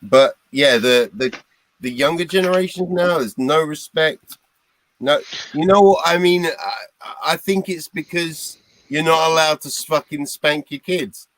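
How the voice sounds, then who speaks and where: A man's voice: on the high side, a little slow, a bit bright, no echo, low-quality recording. Man, 30s, from Britain, blunt, swears, casual, dry mic. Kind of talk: casual chat.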